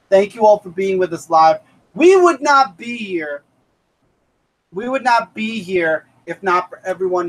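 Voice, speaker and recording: A man's voice: high-pitched, rough recording. A man in his thirties hosting a casual comic review live stream, upbeat and thanking viewers by name. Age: 30s.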